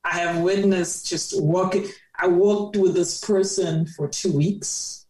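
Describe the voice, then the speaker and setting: A woman's voice: lowish voice, fairly even intonation, slow pace, a little mellow, distant mic. A woman in her thirties, a podcast guest telling her story in an earnest, reflective way. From Cameroon. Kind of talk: casual conversation. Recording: roomy, off-mic sound.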